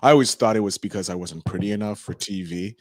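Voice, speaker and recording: A man's voice: fairly low pitch, fast, no echo, studio quality. A man hosting a podcast interview, talking casually and rambling with lots of fillers. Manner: animated, rambling, frequent "like" fillers. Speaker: podcast host.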